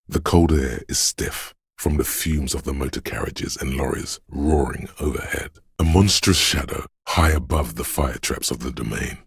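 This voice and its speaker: An actor voicing a brooding, poetic, disembodied voice of a windswept city in a video game, with solemn, hushed and deliberate narration in a gravelly, husky bass. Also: male voice, no echo, studio quality, exaggerated voice. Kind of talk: dramatic scripted game narration.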